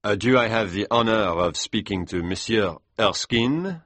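A man voicing a polite old French gentleman with a French accent, in a storybook reading. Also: fairly low pitch, lively intonation, moderate pace, no echo. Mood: courteous. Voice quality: polite, formal.

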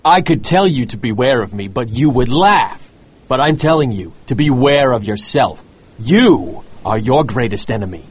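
A man voicing an earnest, worried first mate of a whaling ship in a story reading, urgent and pleading, with a husky voice. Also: mid-range voice, expressive, normal speed. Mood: brave warning.

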